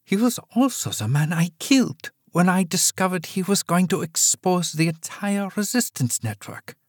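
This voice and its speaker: A man voicing a sharp, guarded woman in her nineties who speaks quietly and steadily, in a storybook reading. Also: sits high, sing-song, moderate pace, no room sound, studio quality, pitched above the performer's own voice. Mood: resigned steady. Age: 90s.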